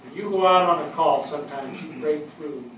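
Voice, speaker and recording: An older man acting an earnest fire captain in a stage reading, delivering a measured eulogy for a fallen friend. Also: fairly high pitch, a bit bright, natural voice. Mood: proud, tender. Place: indoor theatre stage. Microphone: distant camera. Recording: echoey stage, distant mic, faint noise.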